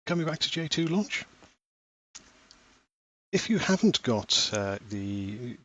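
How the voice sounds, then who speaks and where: A man in his thirties, a parent from Wales, walking through a school software platform step by step in a patient, explaining voice. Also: mid-range voice, big pitch swings, takes it slowly, lo-fi sound. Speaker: parent giving a tutorial. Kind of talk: screen-recorded software tutorial.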